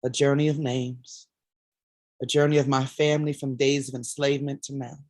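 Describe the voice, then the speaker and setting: A woman's voice: very deep voice, level tone, moderate pace, a little mellow, no echo, clean studio sound. A woman in a staged play reading, playing an earnest grandmother playwright who addresses her family's ancestors. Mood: earnest, reflective.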